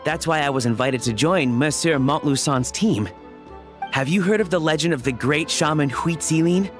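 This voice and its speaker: An actor playing a keen, courteous researcher who explains in a precise, scholarly storytelling manner in a video game. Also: male voice, a little high, moderate pace, soft background music, close to an everyday voice. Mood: pleased. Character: keen, courteous male researcher of ancient ruins. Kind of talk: video game voice acting, scripted exposition.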